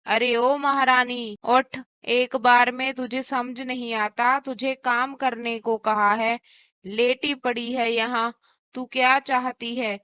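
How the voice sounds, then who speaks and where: A woman playing a harsh, bossy middle-aged Indian mother-in-law in a cartoon story, scolding with sharp sarcasm. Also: fairly high pitch, flat delivery, slow, clear, resonant tone, no echo. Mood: furious. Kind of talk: cartoon moral story dialogue.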